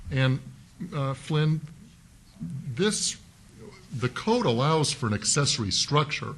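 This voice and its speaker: A man's voice: medium pitch, very animated, slow, fairly bright tone, slight background noise. Folksy councilman questioning at a hearing. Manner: folksy, methodical, thinks aloud with fillers. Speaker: city councilman. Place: council chambers.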